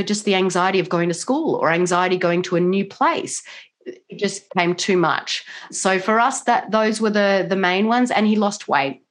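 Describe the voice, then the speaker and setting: Australian woman, middle-aged, slightly low pitch, earnest, chatty interview answers. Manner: earnest, animated, many "you know" fillers. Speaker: guest, mother and nutrition coach. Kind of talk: interview conversation.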